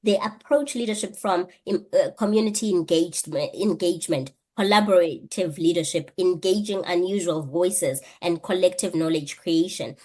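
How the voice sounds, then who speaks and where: A woman's voice: lowish voice, moderate pace, few pauses, warm tone. A woman, answering an interview question earnestly, thinking aloud with rhetorical questions and frequent restarts. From South Africa. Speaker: guest, PhD candidate and lecturer.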